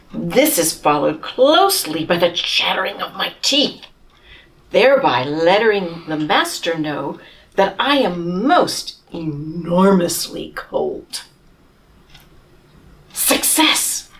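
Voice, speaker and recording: A woman's voice: lowish voice, recorded from a distance, low-quality recording. A woman reading aloud as a pompous, self-pitying male camel who complains grandly about his lost blanket, from a storybook. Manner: grandiose, whining, theatrical complaints.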